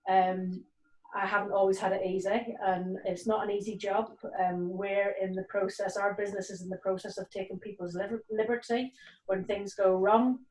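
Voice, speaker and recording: A woman's voice: mid-range voice, level tone, normal speed, darkish tone. A woman in her forties, a police community cohesion sergeant, speaking earnestly on a video call with many ums and restarts. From Nigeria and Northern Ireland. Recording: roomy, distant video call audio.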